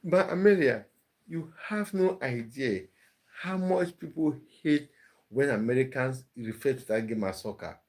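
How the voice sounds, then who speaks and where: Man, live-stream host, chatty, reading out chat, laughs easily, mid-pitched voice. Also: animated, unhurried. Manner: chatty, laughing, answers viewer comments one by one.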